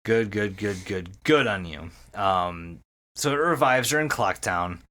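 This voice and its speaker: A man's voice: on the low side, unhurried, pushed and bright. A man hosting a podcast, recapping a story casually and enthusiastically, swearing freely and repeating words. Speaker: podcast host.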